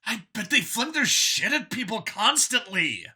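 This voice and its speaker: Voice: medium pitch, big pitch swings, a little slow, sharp tone, husky, pitched above the performer's own voice, full character voice. A narrator voicing a smug, bigoted android copy of a man in an audiobook, with an eager, boastful, emphatic and sneering delivery. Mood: sneering, fervent.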